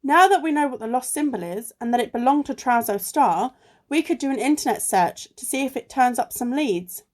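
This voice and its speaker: A fairly high-voiced, level-headed female sleuth from an amateur radio play, acted by a woman. She is clever and quick to stand her ground, and speaks in a measured, deliberate way. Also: expressive. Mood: focused. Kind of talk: amateur radio play acting, often reading articles aloud. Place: recorded alone at home.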